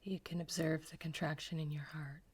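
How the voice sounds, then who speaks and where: A woman guides a meditation in a low, breathy half-whisper. Her voice stays even and unhurried, with little rise or fall. She repeats her phrases slowly and gently. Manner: slow, soft, gentle repetition.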